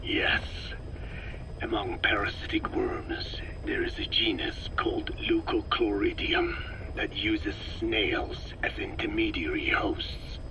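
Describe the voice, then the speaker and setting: An actor playing a cold, older male scientist who lectures slowly in a gravelly whisper, on a game audio tape. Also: fairly low pitch, flat delivery, background noise. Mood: clinical. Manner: slow, lecturing, measured. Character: cold elderly male parasite scientist, calm and clinical. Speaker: scientist explaining parasites. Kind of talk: game audio log acting.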